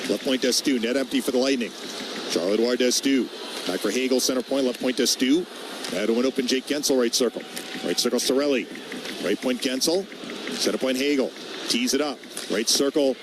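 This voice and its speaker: A male radio announcer calls live hockey play-by-play in clipped, quick phrases. His voice sits at a medium pitch. There is clear background noise from the live broadcast. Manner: clipped rapid-fire phrases, calling each move as it happens.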